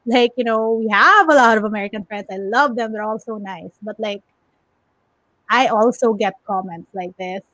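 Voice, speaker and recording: A woman's voice: medium pitch, normal speed, a bit bright, no room sound, rough recording. A woman from the Philippines, chatting as an outspoken, emphatic podcast co-host. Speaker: podcast co-host and tech content creator. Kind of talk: casual opinionated chat.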